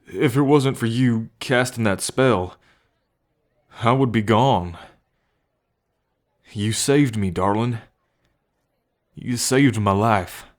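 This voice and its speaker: Casting: male actor as a devoted bull man with a violent past, unhurried and halting, tender and earnest, for listener-directed ASMR roleplay. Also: medium pitch. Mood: grateful, tender.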